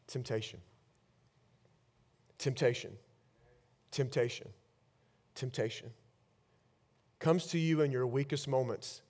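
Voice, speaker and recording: A man in his thirties preaching a sermon on a large church stage with a headset mic, swinging between loud bursts and hushed lines. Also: mid-range voice. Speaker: pastor.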